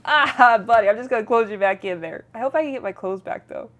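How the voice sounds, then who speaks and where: A woman's voice: a little high, quick pace, fairly bright tone, lo-fi sound. A woman streamer reading game narration aloud with playful, chatty casual commentary.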